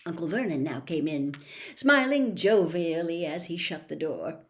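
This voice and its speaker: Woman reading a storybook out loud, lowish voice, expressive n dramatic. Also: unhurried. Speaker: audiobook reader. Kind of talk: expressive scripted story narration.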